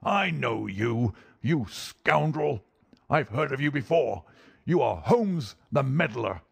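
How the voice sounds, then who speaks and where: A furious old villain from a storybook. He snarls threats and insults like a huge, menacing bully, pitched well above the narrator's usual voice. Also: expressive, takes it slowly, creaky, slightly rough, no room sound, exaggerated voice. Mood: furious, scornful. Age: older.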